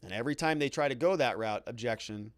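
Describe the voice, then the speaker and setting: A man's voice: mid-range voice, fast talker, no echo. A man hosting a legal commentary show, recapping a trial and reading quotes aloud with emphatic, theatrical stresses.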